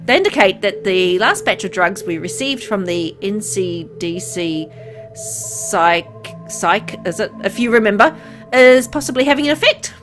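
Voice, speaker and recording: A woman reading aloud as a kind, caring male doctor in a game, speaking gently and earnestly. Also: medium pitch, close to an everyday voice.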